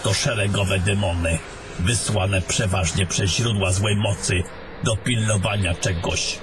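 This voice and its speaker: A man's voice: lowish voice, fairly even intonation, unhurried, noisy background, natural voice. A man playing a travelling adventurer in a fantasy audio drama. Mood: uneasy. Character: fantasy adventurers, gruff travelling men and a mage.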